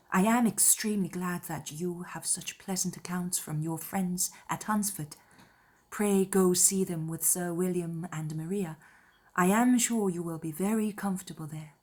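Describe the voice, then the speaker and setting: A woman playing a gentle, wounded young gentlewoman, lowish voice. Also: lightly acted. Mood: resigned, tender. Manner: earnest, measured, formal. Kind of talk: dramatized period novel letter reading.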